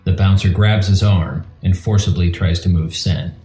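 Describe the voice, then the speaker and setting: A male narrator reads scene directions in a very low, dark voice. The sound is roomy and echoing, with music faintly underneath his scripted narration. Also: steady tone, moderate pace. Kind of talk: scripted screenplay-style narration.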